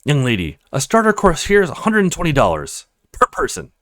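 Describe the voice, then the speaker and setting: A man's voice: fairly high pitch, wildly swooping pitch, fairly quick, a bit bright, no echo, clean studio sound, pitched above the performer's own voice, exaggerated voice. A man voicing a snobbish, condescending maitre d' in a read-aloud of a video game. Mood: contemptuous. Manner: pompous, condescending, fawning to VIPs. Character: snobbish pompous French maitre d' at a posh restaurant.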